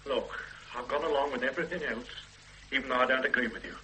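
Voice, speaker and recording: A man's voice: mid-range voice, fast, sharp tone, a little hiss or hum, poor audio. A weary ordinary grandfather. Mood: strained. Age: older. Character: ordinary older man, weary husband and grandfather. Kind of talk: radio play acting.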